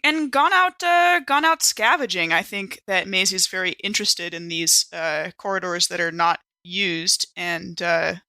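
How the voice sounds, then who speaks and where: Female tabletop player: sharp, forward tone, close dry sound, wry and casual. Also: on the low side, unhurried, hardly any pauses, no room sound. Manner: wry, playful. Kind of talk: casual improvised game chat.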